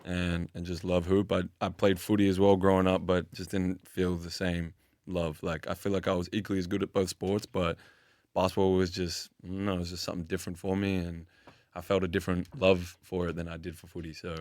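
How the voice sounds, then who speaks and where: Man, 20s, Australian accent, relaxed, very deep voice, monotone, dry sound. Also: fairly quick, dry recording. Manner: relaxed, laid-back, hesitant with fillers. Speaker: basketball player guest. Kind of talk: casual podcast interview.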